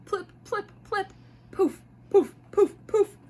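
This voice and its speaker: High-voiced woman reading a children's storybook. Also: slow. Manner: expressive, playful, addressing young listeners. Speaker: mother reading picture books aloud to children. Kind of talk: animated storybook read-aloud.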